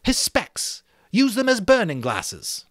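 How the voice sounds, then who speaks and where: Male reader as a commanding schoolboy choir leader, pitched up, urgent and excitable, for a dramatic audiobook. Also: takes it slowly, no room sound, studio quality, heavily acted. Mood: bossy, urgent. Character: bossy, excitable adolescent choir leader boy.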